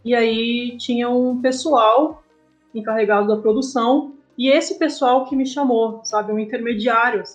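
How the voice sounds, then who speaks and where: High-voiced Brazilian woman, chatty storyteller. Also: steady tone, a little slow, muffled recording, faint music behind. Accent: Brazilian Portuguese. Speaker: guest, comic artist. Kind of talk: casual podcast interview.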